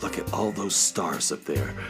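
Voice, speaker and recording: A man playing an easygoing, fatherly beatnik cat in a children's radio play, relaxed and reassuring. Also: sits low, measured pace, over music. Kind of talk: children's radio theater acting.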